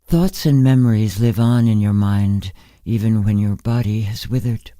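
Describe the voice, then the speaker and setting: A man voicing a very old, bedridden woman in a literary story, frank and musing, with gentle wryness. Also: fairly low pitch, moderate pace, dry recording, clean studio sound, lightly acted. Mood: gently advising. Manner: musing, candid, gently superior. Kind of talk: literary story character reading.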